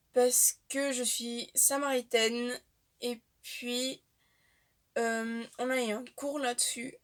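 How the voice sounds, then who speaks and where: A young woman, chatting casually to the camera about herself with frequent filler pauses. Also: a little high. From Portuguese-speaking family, raised in Switzerland. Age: young adult. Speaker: vlogger. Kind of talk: casual facts-about-me vlog.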